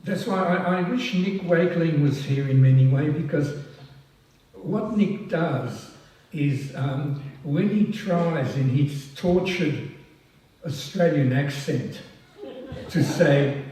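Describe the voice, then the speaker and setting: An older man with a slightly high voice gives a slow, halting speech full of ums and restarts. He sounds a little distant from the mic. The hall around him is large and very echoey. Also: darkish tone. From France. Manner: halting and self-deprecating, many ums and restarts. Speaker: past president honoured at a society oration. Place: large hall at a public event. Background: audience laughing.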